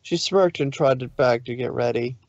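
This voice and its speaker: A man narrating a scripted story, somewhat haltingly, with occasional stumbles over the words. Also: medium pitch, darkish tone, no echo, highs cut off.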